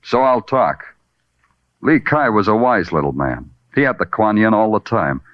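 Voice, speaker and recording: A man's voice: on the low side, animated, normal speed, no room sound, natural voice. A man playing a wry, world-weary police detective in a 1950s radio noir, with clipped, dry, poetic phrasing. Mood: accusing. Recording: dull vintage radio recording with music.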